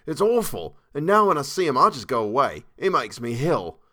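A man's voice: mid-range voice, big pitch swings, moderate pace, sharp tone, voice pushed up. A man voicing a young Cockney English servant and ex-soldier, indignant yet deferential, with uneducated, emphatic speech, in a story reading. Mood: uneasy disgust.

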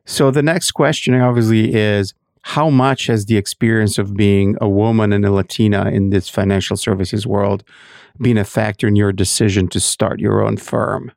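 A man hosting an interview, with a gravelly voice, introducing his guest and asking slow, deliberate questions. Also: fairly low pitch, fairly even intonation, darkish tone, no echo, clean studio sound. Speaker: interview host.

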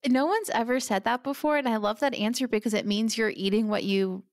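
A woman's voice: a little high, fairly quick, no echo, clean studio sound. A woman hosting a podcast, chatty and enthusiastic as she interviews a guest. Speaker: podcast host.

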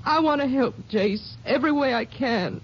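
An actress playing a grieving sheriff's widow in a 1950s radio drama, speaking softly and haltingly with a Texan accent. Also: female voice, sits high, expressive, a little hiss or hum. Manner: hesitant, halting. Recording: muffled old radio recording.

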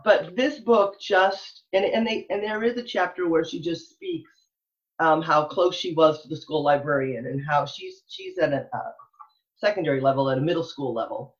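A woman's voice: low-pitched, lively intonation, normal speed, dull audio. A middle-aged American woman talking enthusiastically in an interview, earnest and emphatic, often repeating phrases as she goes. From Texas, United States. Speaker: elementary school librarian, interview guest.

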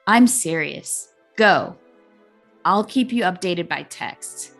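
A firm, protective schoolteacher read by a woman who stands her ground in a storybook tale. Soft music sits behind the voice. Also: on the low side, lively intonation, slow, full character voice. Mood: insistent. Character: defiant, protective female schoolteacher.

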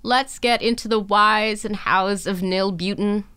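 A woman's voice: mid-range voice, steady tone, measured pace, pushed and bright, smooth. A woman hosting a podcast, interviewing in a casual, chatty style. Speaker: podcast host.

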